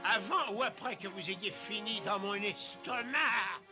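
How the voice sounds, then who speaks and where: A man's voice: sits high, measured pace. A man voicing a gloating, greedy villain sorcerer in a cartoon dub, theatrical and menacing. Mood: gloating menace. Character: gloating, gluttonous cartoon villain sorcerer hungry for his captives. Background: background music.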